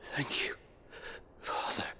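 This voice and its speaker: A frail, dying outcast in a video game drama. She speaks slowly, faint and pleading, halting between words. There is ambient noise behind her. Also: sharp tone. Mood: faint gratitude. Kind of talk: video game cutscene acting.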